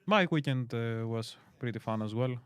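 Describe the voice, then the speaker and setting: A man's voice: mid-range voice, moderate pace, clean tone, dry recording. Greek man rambling on a game stream. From Greece. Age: 20s. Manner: rambling, filler-heavy, hesitant. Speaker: community stream host. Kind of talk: casual chat while gaming.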